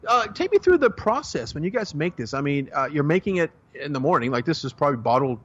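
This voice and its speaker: A man's voice: a little high, big pitch swings, fast talker, fairly bright tone, muffled recording. A man hosting a radio talk show, leading his interview in a chatty way. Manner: chatty, leading questions with filler.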